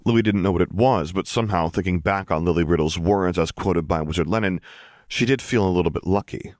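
A man's voice: low voice, brisk, a little mellow, dry recording, clean studio sound. A man reading scripted fiction as an audiobook narrator.

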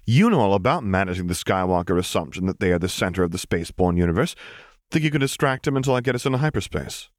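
A man voicing a wry, teasing, brisk young mother who works as a pilot in a story reading. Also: low voice, expressive, dry recording, clean studio sound. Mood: wry, conspiratorial.

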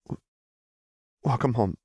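A man voicing an anxious, tender young woman soldier with halting, emotional speech in an audiobook. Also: darkish tone, no room sound, studio quality, lightly acted. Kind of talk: audiobook character acting.

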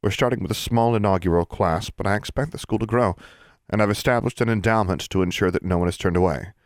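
A man's voice: lowish voice, fast, dry recording, clean studio sound, close to an everyday voice. A man voicing a composed young witch benefactor who speaks in a cool, firm, dryly sarcastic way.

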